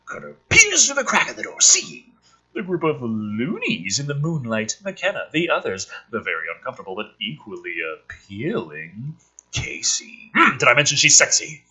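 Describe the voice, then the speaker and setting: Forceful, raspy man mocking a script. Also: mid-range voice, wildly swooping pitch, moderate pace, poor audio. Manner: profane, mocking. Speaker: host of a script review show. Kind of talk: sarcastic read-through of a film script with commentary.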